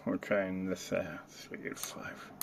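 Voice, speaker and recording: A man casually demonstrating a piece of radio equipment, talking in a halting way with muttered asides. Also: sits low, level tone, gravelly, background noise. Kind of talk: casual hands-on product demonstration.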